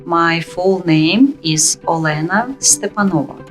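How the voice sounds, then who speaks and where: A woman from Ukraine speaks in a plain, matter-of-fact way. Her voice is low-pitched, and soft music plays faintly behind her. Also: slow pace. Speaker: interviewee, immigrant mother. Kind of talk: documentary interview.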